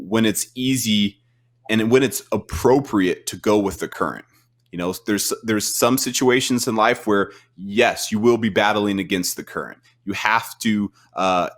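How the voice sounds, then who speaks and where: Deep-ish guy giving earnest life advice, keeps restarting sentences. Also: a bit bright. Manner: earnest, motivational, with frequent restarts. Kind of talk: casual chat.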